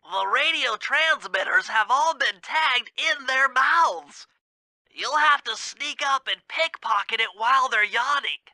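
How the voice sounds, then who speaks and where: A man's voice: extremely high pitch, expressive, unhurried, pushed and bright, dry recording, exaggerated voice. A man voicing a fussy, brainy gadget expert in a video game, speaking in a precise, formal, instructive way. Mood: earnest, instructive. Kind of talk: video game mission dialogue.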